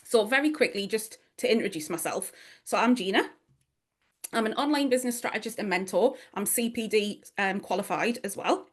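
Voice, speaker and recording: A woman's voice: medium pitch, moderate pace. A middle-aged woman from northeast England with a strong Geordie accent, giving a chatty workshop talk to an audience, full of asides and fillers. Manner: chatty, self-deprecating, many fillers and asides.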